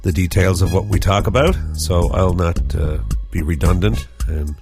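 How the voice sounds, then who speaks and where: Low-voiced guy hosting a podcast, rambly and jokey, music going underneath. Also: normal speed. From Newfoundland. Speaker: podcast host. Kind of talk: casual radio podcast talk.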